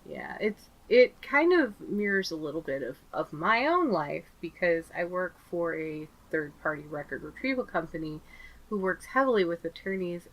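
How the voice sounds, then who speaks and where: A woman's voice: low voice, fairly bright tone, low-quality recording. Chatty woman recapping a convention. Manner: chatty, laughs often, acts out quotes. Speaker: podcast co-host. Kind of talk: casual recap chat.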